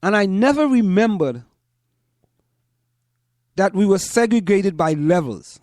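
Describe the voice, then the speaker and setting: A man from Trinidad and Tobago, speaking as a show host in deliberate commentary and repeating phrases for emphasis. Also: high voice, lively intonation, a little mellow, no room sound, clean studio sound. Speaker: host of a community parents' talk show. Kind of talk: spoken commentary to listeners.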